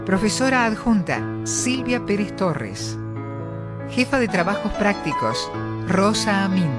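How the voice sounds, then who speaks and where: Female announcer, slow measured delivery, strong music behind, scripted credits. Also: medium pitch, big pitch swings. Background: music bed.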